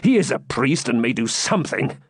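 A man's voice: medium pitch, very animated, unhurried, vocal fry, slightly rough, no room sound, studio quality, pitched above the performer's own voice, full character voice. A man voicing a fierce, stubborn dying baron who growls out defiant speeches in an audiobook. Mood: uneasy.

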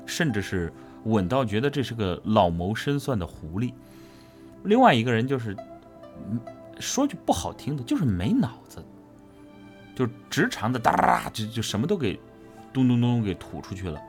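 A man's voice: medium pitch, sing-song, normal speed, soft background music. A man, a voice actor, giving a casual, explanatory interview answer and repeating phrases for emphasis. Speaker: voice actor interviewed about his character.

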